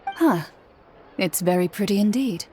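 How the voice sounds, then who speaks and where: A woman's voice: medium pitch, lively intonation, a little slow, heavy background noise, lightly acted. A woman voicing a cool, composed female guardian in a video game, formal and matter-of-fact. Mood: casual, approving. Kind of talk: video game character dialogue.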